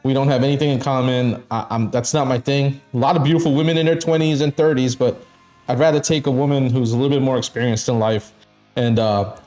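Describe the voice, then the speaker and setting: A man's voice: mid-range voice, steady tone, quick pace, warm tone, faint music behind. A man in his fifties chatting casually on a live stream, blunt and frank, swearing often and laughing between thoughts. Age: 50s. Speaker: stream co-host.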